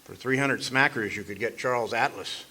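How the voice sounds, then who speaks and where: An actor playing a wry, deadpan hardboiled private detective, narrating and trading lines in an old-time radio noir style. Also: male voice, medium pitch, normal speed, lightly acted. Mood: dry banter. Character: wisecracking hardboiled 1940s private detective, narrating his own case. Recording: faintly noisy live stage recording.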